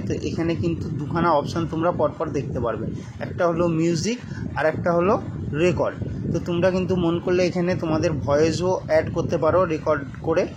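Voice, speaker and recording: A man giving step-by-step instructions as a tutorial host, addressing viewers directly and repeating himself. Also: a little high. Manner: repetitive instructional talk addressing viewers as friends. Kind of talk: spoken step-by-step phone app tutorial. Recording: loud background noise.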